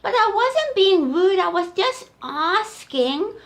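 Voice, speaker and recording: A woman's voice: very high voice, big pitch swings, takes it slowly, fairly bright tone, voice pushed up, heavily acted. A woman voicing a curious, timid little boy elephant who halts and hesitates with ums, in a storybook puppet show.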